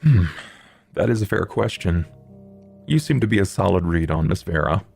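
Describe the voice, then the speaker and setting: A man plays a coolly analytical vampire sleuth for a tabletop game. The voice is very low and creaky, picking out elegant, formal words with measured pauses. Music sits underneath. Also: animated, darkish tone, heavily acted. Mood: cool, probing. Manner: measured, halting, ornate formal wording. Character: Duke, formal, erudite male vampire investigator, coolly analytical. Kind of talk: tabletop roleplay in character.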